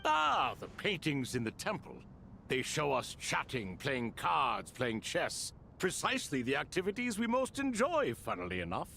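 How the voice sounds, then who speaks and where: Casting: theatrical old spirit for a game, gravelly, swooping, wry. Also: male voice, a little high, wildly swooping pitch, moderate pace, sharp tone, a little hiss or hum, heavily acted. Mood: wry amusement. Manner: theatrical, ornate phrasing. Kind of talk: video game voice acting.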